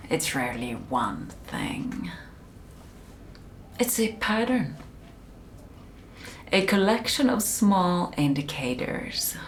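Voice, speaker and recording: A woman playing a calm, controlling institute specialist in a soft, breathy ASMR hypnosis roleplay, often whispering, with slow, soothing, clinical delivery. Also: low-pitched, slight background noise, exaggerated voice. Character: calm, controlling female institute specialist conducting mind conditioning.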